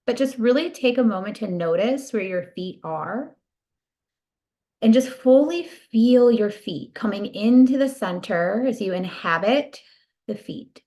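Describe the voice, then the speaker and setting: A woman leading a guided body scan meditation in a soothing, gentle, unhurried manner. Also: fairly high pitch, expressive.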